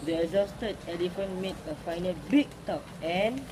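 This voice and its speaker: A woman's voice: on the low side, normal speed. A woman reading a folk tale aloud. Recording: clearly noisy recording with ambient sound.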